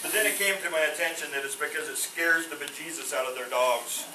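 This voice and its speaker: Male stand-up comic, high voice, corny puns, recorded from across a roomy hall with background noise. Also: brisk. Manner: corny puns with a sheepish trailing delivery. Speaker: stand-up comedian. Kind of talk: stand-up one-liners. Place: veterans home hall. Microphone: no microphone, far from the recorder. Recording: roomy hall from a distance, noisy.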